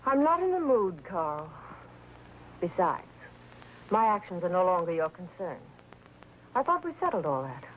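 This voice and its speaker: An actress playing a teasing, aloof unfaithful wife in a 1950s crime drama, with a languid, flirtatious manner. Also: female voice, fairly low pitch, sing-song, a little slow, pauses often, highs cut off. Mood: cool, dismissive. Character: coolly flirtatious, dismissive unfaithful rich wife. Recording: noisy old 1950s TV drama soundtrack.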